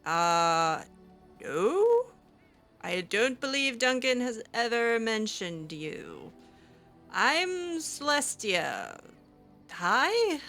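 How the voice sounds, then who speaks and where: Bright, lively, unhurried woman roleplayer. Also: a little high, faint music behind. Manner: hesitant and giggly, many ums and restarts. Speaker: game roleplayer. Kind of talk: live roleplay with game characters.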